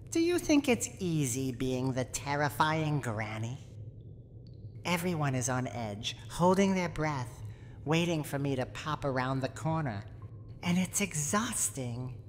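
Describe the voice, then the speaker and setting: A woman voicing a menacing, proud, cranky old granny in a theatrical, deliberate ASMR roleplay monologue. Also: extremely low pitch, big-room sound, slight background noise, full character voice. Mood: proud, weary. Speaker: roleplay voice actor.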